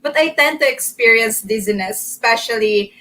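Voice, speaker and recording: A woman's voice: fairly high pitch, normal speed, sharp tone. A nineteen-year-old woman with a Visayan accent, a pageant candidate introducing herself in a friendly, giggly way over a video call. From Philippines. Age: teens. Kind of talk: self-introduction in an interview.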